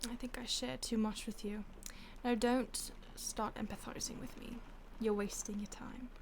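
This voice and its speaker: A woman playing an ancient, detached vampire stalker in an intimate audio roleplay, with a breathy voice that often drops to a whisper and a languid, teasing manner. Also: fairly high pitch, flat delivery, unhurried, slight background noise. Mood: dismissive, condescending. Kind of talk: intimate audio roleplay, ASMR-like acting addressed to the listener.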